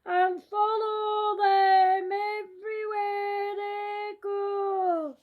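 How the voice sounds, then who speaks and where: A child voicing a menacing ghostly accuser in a story, with slow, drawn-out, sing-song threats. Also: extremely high pitch, flat delivery, exaggerated voice. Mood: menacing, accusing. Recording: muffled, dull recording.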